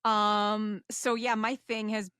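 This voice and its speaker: A woman's voice: mid-range voice, flat delivery, slow, a bit bright, clear, resonant tone, no echo. Casting: female co-host for casual podcast chat, tentative and courteous, recorded dry.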